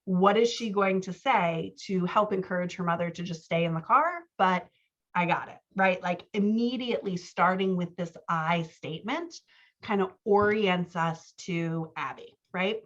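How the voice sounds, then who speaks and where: Lower-voiced woman coaching on dull audio. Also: normal speed. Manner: conversational, self-deprecating humour. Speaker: writing coach. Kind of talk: workshop critique, reading excerpts aloud and commenting.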